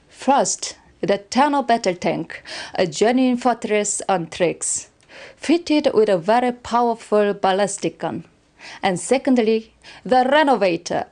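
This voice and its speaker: A woman's voice: medium pitch, darkish tone, natural voice. A woman playing a loyal, formal weapons scientist of an empire, giving orderly briefings in a game cutscene.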